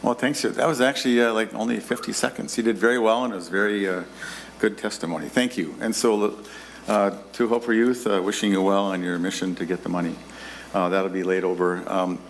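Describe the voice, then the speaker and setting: A man chairing a legislative committee hearing, moving briskly through testimony and hurrying speakers to keep to time. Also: lowish voice, a little hiss or hum. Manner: brisk, hurries testifiers along.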